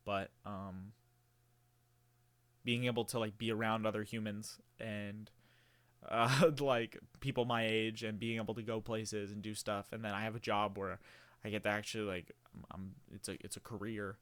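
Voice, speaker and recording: A man's voice: fairly low pitch, flat delivery, moderate pace, a bit bright, no room sound. A man in his early twenties talking casually to camera in a meandering, rambling way, with many fillers and restarts. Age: early 20s. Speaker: vlogger.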